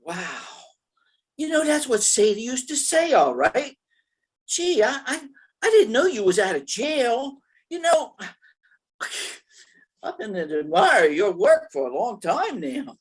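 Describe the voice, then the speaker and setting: A dim-witted gangster gunman from an old-time radio thriller, acted out by a woman, speaking in a high, swooping voice. The delivery is slow and stammering, that of an insecure, gullible crook who keeps hesitating. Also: very animated, slightly rough, full character voice. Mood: awed, smitten. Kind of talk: 1940s radio comedy-thriller acting.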